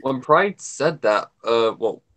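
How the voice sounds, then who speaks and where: A man's voice: mid-range voice, expressive, slow pace, fairly bright tone. A man joining a livestream as a guest over an online call, chatting casually and repeating himself as he rambles.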